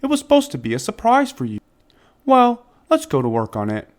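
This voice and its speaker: A man voicing a kindly, patient guardian of a little monkey in a children's storybook, gentle and fatherly. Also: sits high, huge pitch swings, a little fast, pitched above the performer's own voice. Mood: gentle, reassuring.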